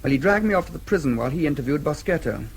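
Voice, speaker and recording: An actor playing a dry, formal British diplomat in a radio drama, with a slightly husky voice and a wry manner. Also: male voice, on the high side, expressive, very fast, heavily acted, poor audio.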